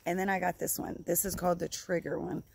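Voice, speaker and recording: A woman's voice: on the low side, little pitch movement, fast. A woman in her thirties giving a casual, rambling product review to camera outdoors, in an informal way that often repeats itself. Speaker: vlogger reviewing fishing gear. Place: outdoors in a leafy yard by a log building. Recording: clear outdoor background noise.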